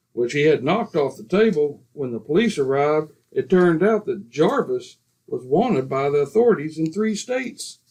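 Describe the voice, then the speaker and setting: A man's voice: on the high side, darkish tone, poor audio. A man playing a genteel, smug society neighbor in an old-time radio comedy, formal and politely superior.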